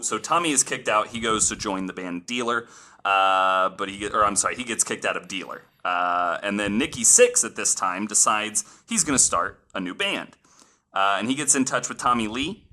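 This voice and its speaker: A man with a fairly low, bright and forceful voice hosts a podcast. He tells stories from his notes in a casual, informal way and is happy to swear. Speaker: podcast host and storyteller.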